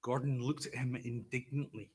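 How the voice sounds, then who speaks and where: A Scottish man in his fifties giving a prepared eulogy for his brother, speaking slowly and carefully with a wry, gently humorous storytelling manner. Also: mid-range voice, steady tone, slightly rough. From Scotland. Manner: deliberately slow, careful delivery. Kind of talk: prepared memorial speech.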